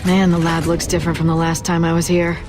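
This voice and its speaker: A woman's voice: lowish voice, monotone, quick pace, music in the background, lightly acted. A young woman playing an earnest, dutiful military cadet in a video game. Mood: focused. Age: young adult. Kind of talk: video game voice acting, partly scripted narration.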